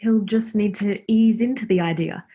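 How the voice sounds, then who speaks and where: A woman's voice: medium pitch, moderate pace, warm tone, husky, close to an everyday voice. An actress in a radio play playing a teasing, blunt young woman, a devoted girlfriend and sister, in a naturalistic style. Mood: reassuring.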